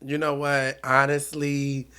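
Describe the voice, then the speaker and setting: A man's voice: medium pitch, completely flat delivery, slow, pushed and bright, smooth. A middle-aged man from Los Angeles, candidly telling stories in a casual interview chat while losing his voice. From Los Angeles area, USA. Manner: candid, laughing, storytelling. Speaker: guest entertainer.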